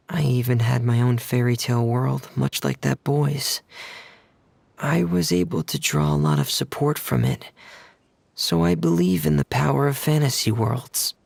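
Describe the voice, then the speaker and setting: A man voicing a shy, dreamy young male diver in a video game, speaking softly and earnestly with some hesitation. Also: mid-range voice, gravelly, breathy edge, no room sound, heavily acted. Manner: soft-spoken, hesitant, earnest. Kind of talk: video game character dialogue.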